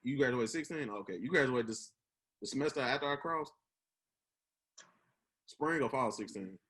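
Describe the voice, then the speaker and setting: A man's voice: mid-range voice, lively intonation, normal speed, a bit bright, husky, rough recording. A man in his twenties with a Memphis Southern accent, hosting a casual podcast chat in an earnest, encouraging, slangy way. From Memphis, United States. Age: 20s. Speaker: podcast host.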